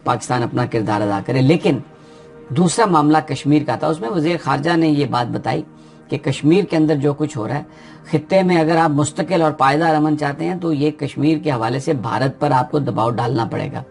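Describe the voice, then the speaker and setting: Pakistani man giving steady political commentary. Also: on the high side, brisk, gravelly, soft background music. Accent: Pakistani Urdu. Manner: steady explanatory delivery with frequent hesitations. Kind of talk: unscripted news commentary.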